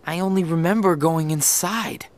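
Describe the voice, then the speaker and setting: A man's voice: fairly high pitch, moderate pace, a little hiss or hum, lightly acted. A young man voicing an earnest young hero. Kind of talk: video game cutscene voice acting. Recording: game audio with faint music.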